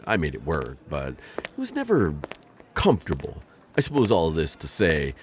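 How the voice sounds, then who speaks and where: An awkward alien bounty hunter with an insect's stiffness, deep and low-pitched, his pitch leaping about as he talks himself in circles. His manner stays formal and flustered in a roleplay audio drama. A faint hum of ambience sits behind him. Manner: formal, flustered, rambling and overexplaining.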